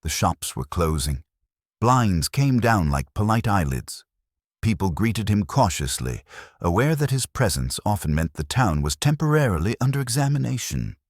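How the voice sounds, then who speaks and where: A man's voice: on the low side, big pitch swings, normal speed, no room sound, clean studio sound. A man reading a literary mystery story as scripted narration. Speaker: audiobook narrator.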